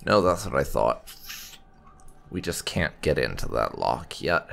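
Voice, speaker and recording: A man with a very low, gravelly voice hosts a casual game playthrough. His delivery is wry and sardonic, with pitch that moves around a lot. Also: sing-song, measured pace, a bit bright. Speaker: gaming video host and player.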